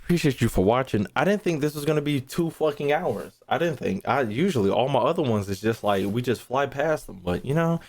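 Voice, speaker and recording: Guy doing casual stream commentary, swears a lot, keeps repeating himself and losing his thread, medium pitch. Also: animated, brisk, hardly any pauses, darkish tone. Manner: rambling, repeats phrases, trails off mid-thought; slangy, heavy swearing. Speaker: gaming streamer, YouTuber. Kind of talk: casual live-stream commentary, thinking aloud.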